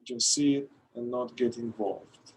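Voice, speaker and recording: A man leading a guided meditation in a calm, gentle manner, pausing between short phrases. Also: medium pitch, slightly rough, low-quality recording. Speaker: meditation guide, somatic therapist.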